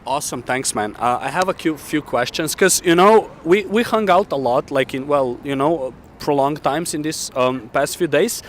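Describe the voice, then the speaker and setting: A man's voice: a little high, normal speed, hardly any pauses, a little hiss or hum. Chatty man hosting outdoors. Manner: energetic, chatty, many fillers. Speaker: host. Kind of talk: casual interview hosting. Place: outdoor city square in winter. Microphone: handheld mic, camera close.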